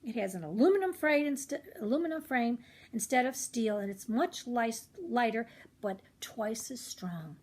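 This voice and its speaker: A woman voicing an eager high school boy selling bikes with upbeat sales patter in a children's storybook. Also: animated, normal speed, a little mellow. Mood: proud.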